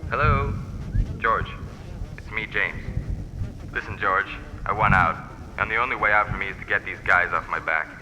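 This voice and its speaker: A man's voice: lowish voice, moderate pace, pauses often, lo-fi sound, noisy background, close to an everyday voice. An actor playing a laid-off man in debt who is evasive and then pleading, in an amateur short film. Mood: desperate.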